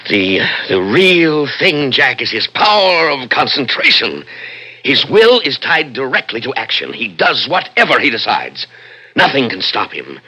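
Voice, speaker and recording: An actor playing a cold, obsessive, middle-aged scientist, speaking emphatically and deliberately in a 1960s radio drama. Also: male voice, mid-range voice, very animated, bright, forceful tone, slightly rough, exaggerated voice, rough recording. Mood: proud, fervent. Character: obsessive, cold, commanding scientist father, proud inventor. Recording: vintage radio recording with music behind.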